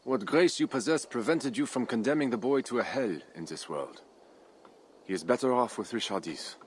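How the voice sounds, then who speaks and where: A man's voice: mid-range voice, lively intonation, moderate pace, slightly rough. A man playing a proud, ambitious Frankish count, formal and solemn, in a dramatic video game.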